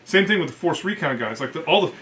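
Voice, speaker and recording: Bright-voiced man telling military war stories. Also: on the high side, fast, slight background noise. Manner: profane, colloquial, animated retelling. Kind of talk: casual storytelling with acted-out quotes.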